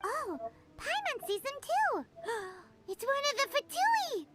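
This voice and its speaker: A woman's voice: very high voice, very animated, slow pace, music in the background, exaggerated voice. A woman voicing a tiny, cheeky floating fairy girl in an anime game, blurting things out like a blunt child. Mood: alarmed.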